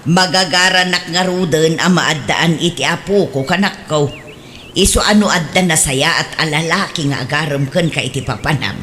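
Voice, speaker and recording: A woman's voice: deep voice, normal speed, fairly bright tone, slight background noise. A woman voicing an older mother in a radio drama who keeps pressing her children, talking in a coaxing, insistent way. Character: older mother, eager and pushy. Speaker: mother longing for grandchildren. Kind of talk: Ilocano radio drama acting.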